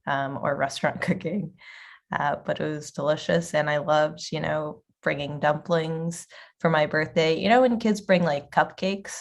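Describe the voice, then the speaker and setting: Low gravelly woman. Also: a little slow. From Boston area, USA. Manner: rambling, many fillers and restarts. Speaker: restaurant owner, guest. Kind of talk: casual interview conversation.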